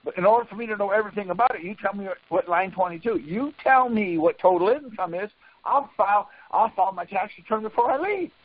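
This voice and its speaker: A man's voice: high-pitched, animated, quick pace. A man on a group conference call, explaining argumentatively, insistent, often interrupting and repeating himself. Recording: dull lo-fi conference call audio.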